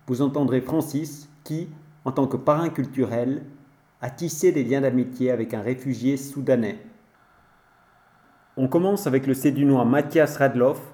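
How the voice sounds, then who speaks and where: A man presenting a report and asking interview questions in a calm, measured way. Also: medium pitch, reverberant. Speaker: radio reporter.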